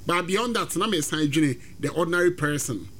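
A man's voice: fairly high pitch, animated, a little fast, fairly bright tone. A man with a Ghanaian accent discussing football, mixing Twi and English. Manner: mixes Twi and English, thoughtful. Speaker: sports pundit. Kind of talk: casual sports chat.

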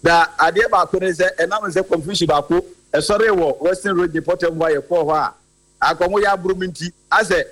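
A man with a Ghanaian accent reporting live for radio, halting with many fillers as he switches between Twi and English. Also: sits high, a bit bright, poor audio. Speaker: field reporter.

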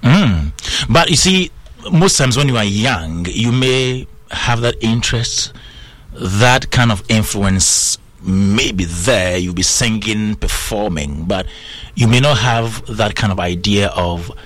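A man hosts a relaxed interview, asking curious questions. His pitch swings widely and expressively as he talks. He sounds engaged and quick to react. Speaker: interview host. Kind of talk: casual interview conversation.

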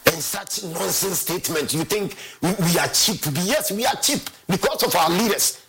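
A man's voice: high-pitched, animated, moderate pace, fairly bright tone. A Ghanaian man from Ghana delivering a heated, scornful rant as a football fan commentator, repeating himself often. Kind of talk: angry rant.

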